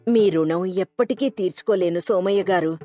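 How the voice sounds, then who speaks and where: A woman's voice: fairly low pitch, normal speed, mellow, soft background music, lightly acted. A woman playing a worried poor villager's wife in a moral story, respectful and troubled.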